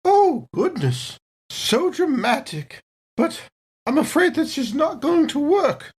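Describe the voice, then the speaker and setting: A woman's voice: sits high, very animated, slow, darkish tone, voice pushed up, full character voice. A woman voicing a genteel, coolly confident lady with a dainty, sweetly dangerous manner in a tabletop game. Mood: coolly amused. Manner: dainty, polite, mock-apologetic.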